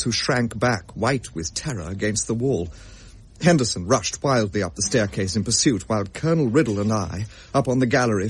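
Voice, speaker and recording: An actor as a haunted young gentleman, husky voice. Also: lowish voice. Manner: grave, old-fashioned storytelling with halting stammers. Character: haunted, shaken young gentleman recounting a ghostly encounter. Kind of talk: dramatic period radio drama narration.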